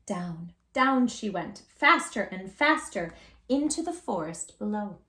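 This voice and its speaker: A woman host reading a children's story aloud in an animated, expressive way, with dramatic pauses and drawn-out emphasis. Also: medium pitch.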